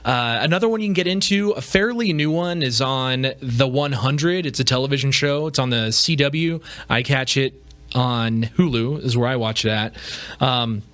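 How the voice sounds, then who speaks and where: A man's voice: mid-range voice, normal speed, a bit bright. A man hosting a podcast with casual solo commentary, talking informally and conversationally with rhetorical asides. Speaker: podcast host.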